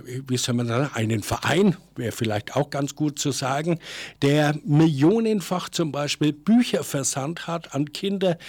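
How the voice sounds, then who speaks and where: An older man giving an informal, unscripted monologue as a presenter, halting and digressive, thinking aloud and correcting himself as he goes. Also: mid-range voice.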